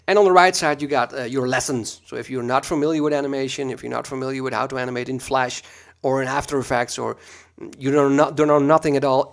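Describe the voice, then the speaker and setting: A Dutch-accented man talks through a software tutorial at his computer. His delivery is loose and conversational, dotted with ums and uhs, at a medium pitch that sits in the middle for a man. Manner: relaxed, chatty, frequent ums and uhs. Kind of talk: screen-recorded software tutorial.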